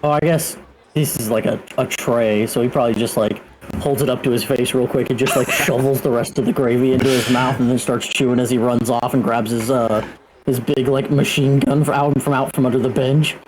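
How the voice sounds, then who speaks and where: A man with a medium-pitched voice talks as a player in a tabletop game. He describes his character's actions in loose, casual chat, with faint noise behind him. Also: fairly even intonation, a little fast.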